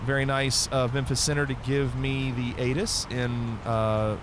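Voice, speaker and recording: A man's voice: mid-range voice, little pitch movement, a little slow. Guy streaming flight sim, chatty, answers chat, noisy hum behind him. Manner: chatty, reads and answers viewer chat between radio calls. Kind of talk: casual live-stream chat.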